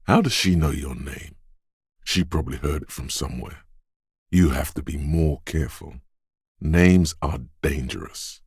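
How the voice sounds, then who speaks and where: A man voicing a detective's quick-witted inner instinct in a video game, narrating in a measured, knowing, dramatic way. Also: rumbling low, breathy edge, no room sound, clean studio sound, full character voice. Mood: wary, insistent. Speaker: voice actor. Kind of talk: second-person game narration.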